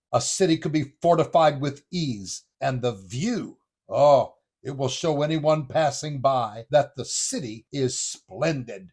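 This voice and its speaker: A man's voice: fairly high pitch, a little mellow, husky. An actor playing a confident, proud army commander who becomes king, in a Bible audio drama, speaking in an assured way.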